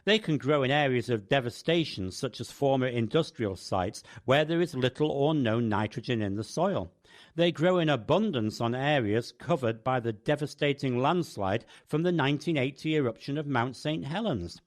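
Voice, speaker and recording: A man's voice: mid-range voice, lively intonation, normal speed. A man narrating a scripted first-person radio piece as its host.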